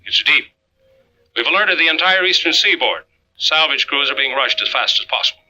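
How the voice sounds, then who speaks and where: Brisk, firm navy captain, old radio. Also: male voice, a little high, lively intonation, pushed and bright. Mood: firm, regretful. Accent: American. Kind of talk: old-time American radio drama acting. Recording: faintly noisy old radio recording.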